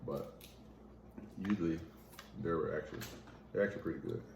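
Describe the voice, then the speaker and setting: Southern guy from mississippi, real low voice, laid-back teasing chat, echoey room w/ noise behind. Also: normal speed. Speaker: mukbang co-host. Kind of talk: casual chat while eating.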